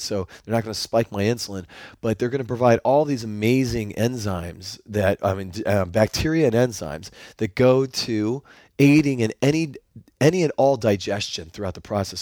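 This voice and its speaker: A man hosting his own podcast, talking solo in an upbeat, enthusiastic coaching tone, his voice hoarse. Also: on the low side, a little mellow, dry recording. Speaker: podcast host.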